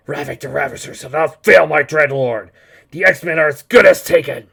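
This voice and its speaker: A man voicing a proud, indignant alien henchman, servile to his lord and loudly declamatory. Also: on the high side, expressive, moderate pace, breathy edge, voice pushed up, full character voice. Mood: boastful. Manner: loud, declamatory.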